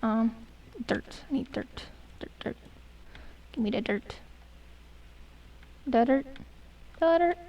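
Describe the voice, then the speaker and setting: Young woman streamer, hoarse and gravelly, high-pitched, chatty and self-deprecating. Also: animated, slow, long pauses, dark tone, distant mic, muffled recording. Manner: chatty, self-mocking, hums and mutters to herself. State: losing her voice, hoarse. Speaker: gaming streamer. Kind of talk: casual gameplay commentary.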